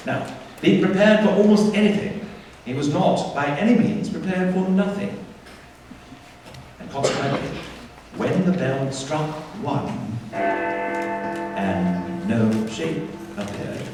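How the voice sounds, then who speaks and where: A man playing the narrator of a Victorian ghost tale in a radio play, expressive and deliberate in his storytelling. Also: on the high side. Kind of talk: scripted theatrical narration. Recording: distant echoey hall, faint noise.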